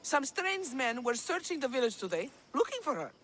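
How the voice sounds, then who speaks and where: High, very animated village woman. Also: normal speed, exaggerated voice. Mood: scornful. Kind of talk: video game cutscene acting.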